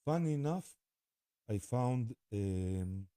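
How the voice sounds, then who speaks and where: A man with an Israeli accent speaks in a very dark, mellow voice at a slow pace. His delivery is halting and informal, full of pauses and repeated words, as he rambles to camera. The sound is dry and close, with little room in it. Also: mid-range voice, animated, clear, resonant tone, dry recording. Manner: halting, with frequent pauses and repetitions. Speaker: spiritual vlogger.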